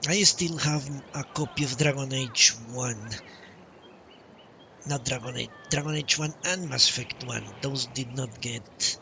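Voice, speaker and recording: A man's voice: medium pitch, measured pace, creaky, bright, forceful tone, a little hiss or hum. A man streaming a game live, giving blunt, casual commentary with some swearing. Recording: faint game music behind voice. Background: game music.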